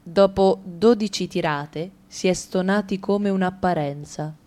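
A woman reading a comic monologue for radio theatre, ticking off lists briskly with ironic, playful emphasis. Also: fairly low pitch, dark tone. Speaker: radio drama reader.

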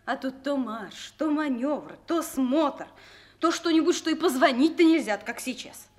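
An actress playing an impatient young officer's wife in a Russian radio drama, with an emotional, plaintive manner. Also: female voice, sits high, lively intonation, measured pace. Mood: impatient, complaining. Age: young adult.

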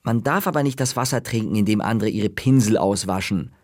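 A man's voice: lowish voice, a little fast, darkish tone, no room sound, studio quality, natural voice. A man voicing a patient but exasperated mother in a storybook reading, chiding in a raised voice.

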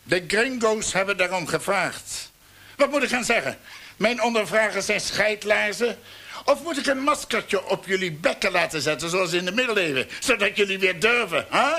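A man's voice: sits high, lively intonation, normal speed, sharp tone, full character voice. An actor playing a domineering, scornful army colonel in a radio drama, blustering and mocking as he commands. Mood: scornful, commanding.

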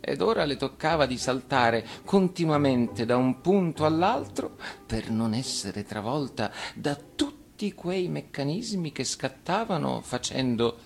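A man reading a children's tale as storyteller, in an expressive scripted narration. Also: mid-range voice, unhurried, creaky.

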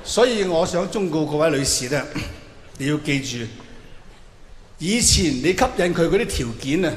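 A man's voice: a little high, animated, normal speed. A man performing a stand-up monologue, telling it theatrically with mock-earnest advice. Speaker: stand-up comedian. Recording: echoey hall with long reverb, clear noise.

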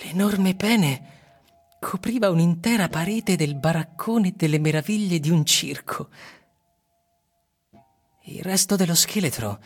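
An actor reading literary narration as a grave storyteller, in a measured and deliberate way. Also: male voice, high-pitched, husky, soft background music, full character voice. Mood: sombre, measured. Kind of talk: literary scripted narration with music.

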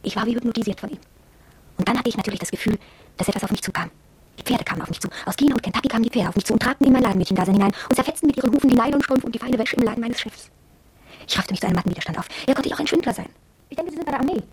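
A woman's voice: a little high, lively intonation, rapid-fire, darkish tone, breathy edge, no room sound, rough recording. An actress playing an impressionable, wondering young shop girl who confides her experiences in a reflective radio drama monologue. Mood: wondering, confiding.

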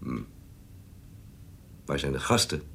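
A man playing a sly, easygoing rogue who poses as a merchant in a historical radio drama, with a husky voice and a theatrical, questioning manner. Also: rumbling low, animated, slow pace, pushed and bright, heavily acted. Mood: teasing, wary.

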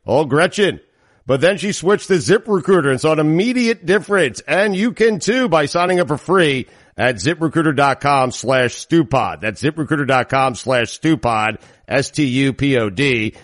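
A man hosting a podcast from his office, laughing a lot and reading ads briskly. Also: fairly high pitch, no room sound, clean studio sound. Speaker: podcast host. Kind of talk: casual podcast banter.

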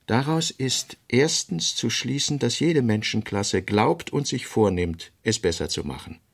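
Man, radio-play narrator, gravelly voice, measured clipped delivery, studio reading. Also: mid-range voice, animated.

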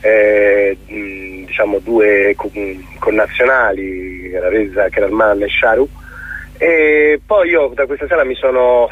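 A middle-aged man giving a reflective radio interview as a theatre guest, articulate but often pausing to search for words. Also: fairly low pitch, fairly even intonation, slightly rough. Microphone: telephone. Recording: muffled, thin telephone line on radio.

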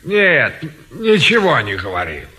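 An actor playing an obedient, laconic manservant in a classic comedy audio play, giving terse, dutiful answers. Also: male voice, medium pitch, huge pitch swings, measured pace, pushed and bright, exaggerated voice. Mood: dutiful, stolid.

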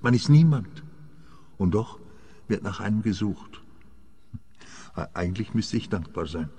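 An actor with a Swiss accent playing a weary, melancholy man on the run in a radio play, husky, halting and reflective. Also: on the low side, animated, mellow, exaggerated voice. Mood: bitterly amused. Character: weary, melancholy, brooding male fugitive client. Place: scene set in echoing church. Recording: long church-like reverb.

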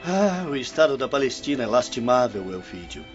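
A man's voice: mid-range voice, sing-song, fairly quick, natural voice. A man playing a compassionate, critical Roman nobleman in a radio drama, speaking in a grave, declamatory manner. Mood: grave, indignant. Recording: muffled radio drama with background music.

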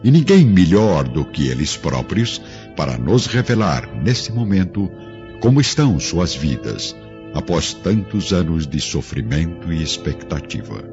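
Deep, dramatic male radio drama narrator. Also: very animated, a little slow. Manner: grave, formal, theatrical storytelling. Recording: dull band-limited audio with music behind.

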